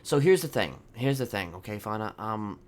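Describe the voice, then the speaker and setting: A man talking casually in character in an online roleplay game, halting and repeating phrases for emphasis. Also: lowish voice, expressive.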